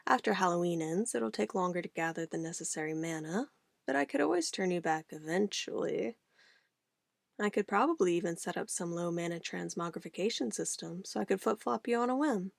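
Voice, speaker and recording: A woman's voice: fairly low pitch, creaky, dry recording, studio quality. A woman playing an obsessive, possessive witch in an ASMR roleplay, sweetly menacing and cooing in an intimate, theatrical way. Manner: intimate, cooing, theatrical, shifting between soft whispers and firm commands.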